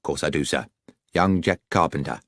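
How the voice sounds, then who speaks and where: A man's voice: extremely low pitch, normal speed, fairly bright tone, no echo, studio quality. A man voicing a neat, orderly country station master who answers politely and plainly. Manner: polite, deferential, plain-spoken.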